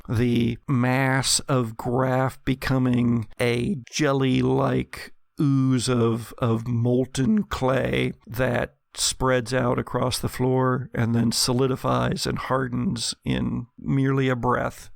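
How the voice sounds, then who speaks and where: Male game master, measured and dramatic horror narration, gravelly edge, unhurried pace. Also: mid-range voice, no echo, studio quality. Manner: dramatic, deliberate storytelling in second person.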